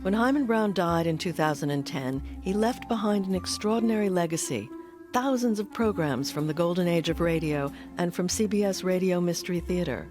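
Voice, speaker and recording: A woman with a slightly low voice narrates a documentary as its host. She reads from a script, with faint music underneath. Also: expressive, a little fast.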